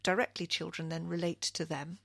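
A woman's voice: fairly low pitch, flat delivery, fairly quick, gravelly, sharp tone, no room sound, studio quality. A middle-aged woman from Kenya, speaking as a radio interview guest in an earnest, thoughtful way with frequent restarts.

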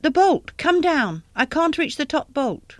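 Woman playing a frantic, high-voiced grieving old woman. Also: lively intonation, a little fast, a bit bright, no echo. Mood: hysterical pleading. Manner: hysterical, insistent, crying out.

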